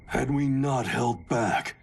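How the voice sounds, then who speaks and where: A man playing a stern, arrogant ninja clan fighter in a video game, with a gravelly voice and a haughty, clipped, formal delivery. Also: medium pitch, fairly even intonation, slow pace, a little hiss or hum. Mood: contemptuous. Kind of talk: cinematic video game acting.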